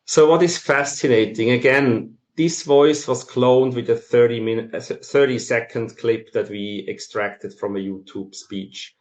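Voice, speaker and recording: A man with a Swiss accent giving an explanatory presentation in a halting way, with frequent ums. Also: medium pitch, darkish tone. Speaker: masterclass presenter. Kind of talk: explanatory conference presentation for non-technical listeners. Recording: roomy, echoing sound.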